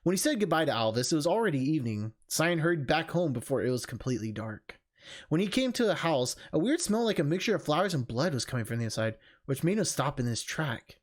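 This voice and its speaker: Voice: medium pitch, big pitch swings, fast. A video game streamer with an informal way of talking, narrating and chatting to viewers. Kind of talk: reading a visual novel aloud, casual vlog chat. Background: music.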